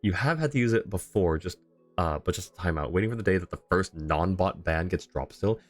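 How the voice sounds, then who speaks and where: A man's voice: low-pitched, expressive, fairly quick, faint music behind. A man livestreaming a game, chatting casually and thinking aloud in an easygoing way.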